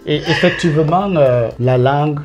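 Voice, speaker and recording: A man from Cameroon, a teacher answering interview questions in a careful, measured way with frequent hesitations. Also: fairly high pitch, darkish tone. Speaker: teacher guest.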